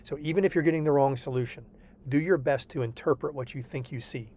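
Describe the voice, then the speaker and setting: A man's voice: medium pitch, fast, warm tone, a little hiss or hum. A man tutoring a student over a video call, explaining homework patiently and reassuringly, often checking in with "okay?". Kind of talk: online tutoring session walking through homework.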